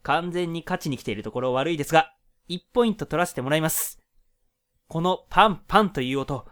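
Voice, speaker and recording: A man playing an exasperated captive contestant in a comedic radio drama, his deadpan asides flaring into loud retorts. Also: fairly high pitch, a little fast, smooth. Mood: earnest, intense. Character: exasperated male captive contestant, quick with comebacks.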